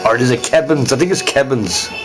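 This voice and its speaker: A man's voice: mid-range voice, brisk. Guy livestreaming alone, chatty and sweary, laughs a lot, music going in the background. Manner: casual, profane, laughs often. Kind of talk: rambling solo stream chat.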